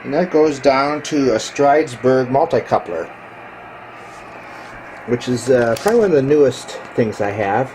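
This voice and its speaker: A man giving a casual, hands-on video walkthrough as a hobbyist, explaining technical gear in a matter-of-fact, halting way into a handheld camera. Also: mid-range voice, animated, darkish tone. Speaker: ham radio hobbyist showing his equipment. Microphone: handheld camera microphone. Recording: clearly noisy handheld camera recording.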